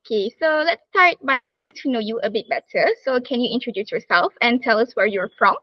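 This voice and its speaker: A woman's voice: a little high, brisk, no room sound, highs cut off. A woman interviewing a student, reading prepared questions with many "all right" and "okay" transitions.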